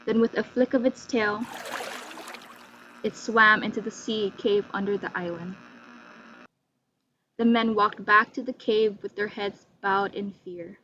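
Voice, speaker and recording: A woman's voice: mid-range voice, fairly even intonation, a little slow, long pauses, pushed and bright. A woman telling a scripted folktale as narrator with a dramatic storytelling delivery. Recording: muffled lo-fi, faint hum.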